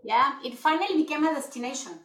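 High-voiced woman in a roomy space. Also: fast. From Mexico. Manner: animated, lots of fillers and restarts. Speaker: guest. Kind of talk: conversational podcast interview. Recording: roomy echo.

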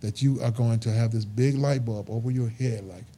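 A man's voice: mid-range voice, fairly even intonation, normal speed, warm tone, breathy edge. A man in his fifties, a truck driver being interviewed, talking earnestly and emphatically and repeating his points. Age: 50s. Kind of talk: casual interview talk.

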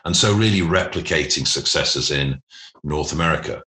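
Man, very low pitch, bright forceful tone, corporate phrasing, business interview guest. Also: moderate pace. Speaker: podcast guest, private equity investor.

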